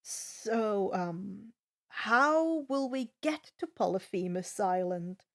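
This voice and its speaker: A woman's voice: mid-range voice, lively intonation, takes it slowly. A woman voicing an earnest teenage demigod boy in a casual, hesitant manner. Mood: awkward, nervous.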